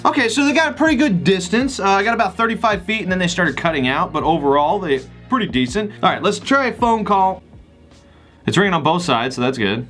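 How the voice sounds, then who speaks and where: A man's voice: sits high, lively intonation, brisk, a bit bright, soft background music. A man hosting a gadget unboxing video, talking casually and enthusiastically to the camera in an informal, chatty way. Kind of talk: casual product review to camera.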